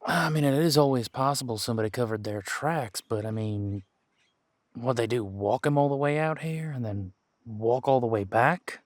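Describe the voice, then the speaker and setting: A man playing a seasoned, wry detective who patiently mentors a rookie in an audio roleplay drama, musing aloud in unhurried, folksy phrasing. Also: medium pitch, no room sound, natural voice. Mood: puzzled, wry. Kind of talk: roleplay audio drama, one-sided conversation.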